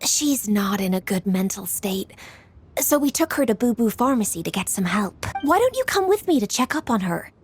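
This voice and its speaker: An actress playing a poised, businesslike young official in a video game, articulate, formal and composed. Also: female voice, mid-range voice, very animated, normal speed, close to an everyday voice. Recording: music under the voice.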